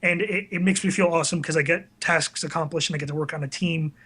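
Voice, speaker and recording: A man's voice: a little high, steady tone, fast talker, a bit bright, breathy edge. A man talking casually as an interview guest, earnest and a little hesitant, putting himself down modestly. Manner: earnest, hesitant, self-deprecating. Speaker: interview guest, web video creator. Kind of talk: casual conversational chat.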